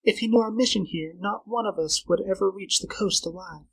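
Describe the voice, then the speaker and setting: High-pitched, breathy young woman, played by a male narrator: urgent, fearful schemer for an adventure audiobook. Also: brisk, fairly bright tone, low-quality recording. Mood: fearful, insistent. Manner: urgent, pleading.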